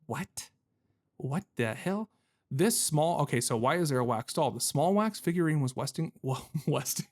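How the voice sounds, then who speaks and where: A man's voice: a little high, expressive, normal speed, darkish tone. A man giving casual let's-play commentary, thinking aloud in goofy mock-swearing slang and sometimes reading game notes out. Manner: thinks aloud while playing. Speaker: gaming YouTuber.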